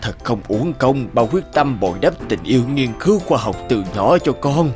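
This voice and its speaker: A man playing an earnest man of an inventor's family in a cartoon drama. His pitch moves a lot, and music plays under the voice. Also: animated, normal speed, darkish tone, close to an everyday voice.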